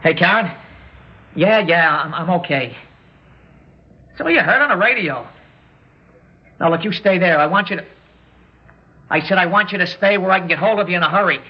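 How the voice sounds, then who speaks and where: A jittery young gunman from an old radio drama, pitched on the high side. He threatens in rough, slangy street talk. The recording is dull and muffled, like a vintage broadcast. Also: animated, normal speed, pauses often. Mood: agitated, curt. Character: jittery young male armed robber holding hostages, threatening. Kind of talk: classic radio drama acting.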